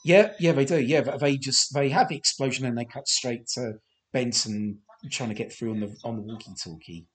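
A man hosting a podcast, chatting through a TV commentary with a slightly croaky voice, rambling and hesitant with many fillers and restarts, self-deprecating. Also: mid-range voice, moderate pace, poor audio. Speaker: podcast host. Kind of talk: casual film commentary.

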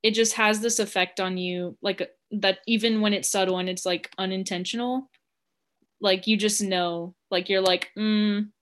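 A woman's voice: mid-range voice, fairly even intonation, moderate pace. A young woman co-hosting a casual podcast, talking frankly and filling her speech with "like.". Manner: chatty, heavy use of "like", frank. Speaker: podcast co-host.

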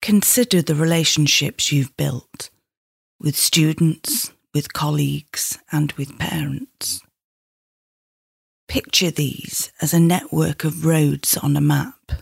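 A middle-aged woman leading a guided meditation in a soothing, measured, instructing manner. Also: low voice, vocal fry, breathy edge, no echo, clean studio sound.

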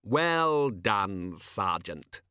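A man voicing a calm, ironic male ruler in a storybook, formally polite and deliberate, with quiet menace. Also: fairly low pitch, smooth, no echo, studio quality, exaggerated voice. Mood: dry approval.

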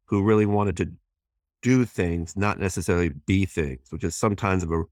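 A man in his sixties with a deep, low-pitched voice, speaking as an interview guest. He answers thoughtfully, often pausing with fillers and restarting his sentences. The recording is dry and close. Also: darkish tone, no room sound. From born in Hong Kong, raised in US. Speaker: guest, president of a human rights group.

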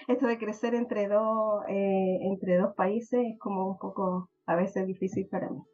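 A woman's voice: mid-range voice, fairly even intonation, slow, warm tone, highs cut off. A Chilean woman hosting a podcast interview, chatting casually and hesitantly with frequent fillers and laughs. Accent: Chilean Spanish. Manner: hesitant, mixes in English words, laughs often. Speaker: podcast host.

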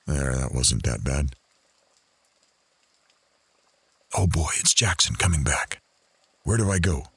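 A man's voice: extremely low pitch, animated, slow, creaky, fairly bright tone, breathy edge, no echo, exaggerated voice. An actor playing a furtive man who snoops on people, talking conversationally in an audio drama. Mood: nervous, muttering. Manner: conversational, thinking aloud.

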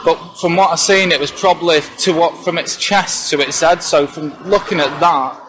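A young man from England, a witness recounting his experience in a halting, hesitant way, outdoors on a residential street. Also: fairly high pitch. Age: young adult. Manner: hesitant, halting, many fillers. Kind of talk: casual first-hand recounting. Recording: noisy outdoor ambience.

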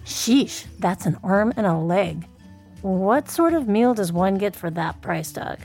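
A woman playing a worldly food journalist in an audio drama, speaking in measured, florid phrases. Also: medium pitch, expressive, a little mellow, husky. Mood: curious, skeptical. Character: Louise, worldly female food journalist, drily skeptical then rapturous. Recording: background music under the voice.

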